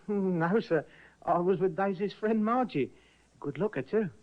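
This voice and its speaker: A frightened little ship's cook, deferential and stammering, always eager to please. He speaks in a high voice. The sound is muffled like an old broadcast. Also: unhurried. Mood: nervous. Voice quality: deferential, stammering, keeps saying sir.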